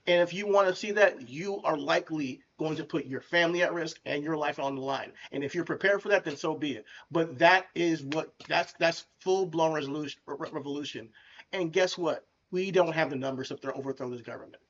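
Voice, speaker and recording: A man co-hosts a loose podcast conversation, blunt and animated, full of slang. His voice sits a bit high for a man. The recording sounds dull and lo-fi, like a remote call. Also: brisk. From United States. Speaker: podcast co-host. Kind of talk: casual chat.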